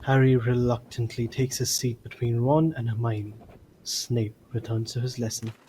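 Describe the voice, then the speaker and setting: A man's voice: mid-range voice, level tone, normal speed, dark tone, slight background noise, poor audio. A man reading scripted narration for an audio drama.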